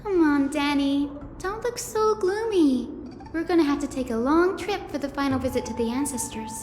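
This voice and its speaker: A woman's voice: sits high, expressive, normal speed. A woman playing a kind, optimistic young priestess in a video game, speaking earnestly. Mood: bright, reassuring. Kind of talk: scripted video game voice acting. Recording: echoey game audio with music behind.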